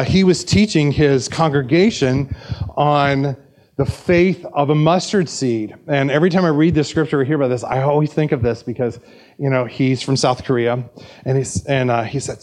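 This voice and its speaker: A man's voice: mid-range voice, normal speed, a little mellow. A man preaching a sermon at a church service, chatty and joking, with frequent fillers and restarts. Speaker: pastor. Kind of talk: sermon with anecdotes.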